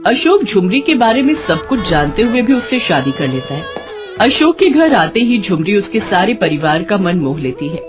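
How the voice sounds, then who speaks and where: Very expressive woman storyteller. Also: mid-range voice, moderate pace. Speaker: story narrator. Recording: music behind the voice.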